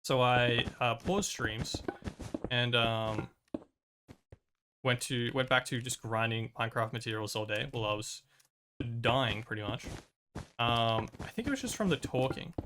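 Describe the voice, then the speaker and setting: A man's voice: medium pitch, unhurried, long pauses, background noise. A young man streaming a video game, chatting casually and rambling with lots of fillers and restarts, his voice a little worn from a recent cold. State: recovering from a cold and lost voice. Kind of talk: casual chat while playing.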